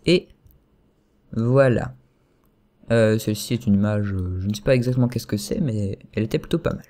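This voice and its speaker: A young man giving a casual screen-recorded software tutorial at his computer, chatty and hesitant, full of fillers and self-corrections. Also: on the low side, moderate pace.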